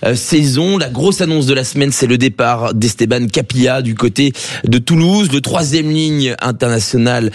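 Brisk male radio rugby news presenter. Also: mid-range voice.

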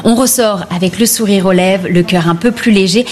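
A woman's voice: mid-range voice, normal speed, darkish tone, a little hiss or hum. Woman reading a theatre review. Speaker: theatre critic. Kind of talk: scripted theatre review on radio.

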